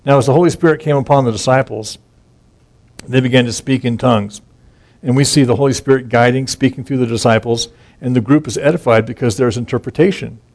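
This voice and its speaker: A man's voice: mid-range voice, a little fast, a little mellow. A man teaching from scripture in an informal, conversational way, reading verses aloud and explaining them with frequent restarts and asides. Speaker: Bible teacher.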